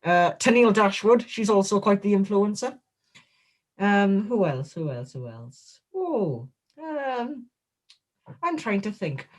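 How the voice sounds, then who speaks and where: A man giving a comedic, in-character interview as a guest wrestler, deadpan, boastful and absurd. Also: high voice, slow.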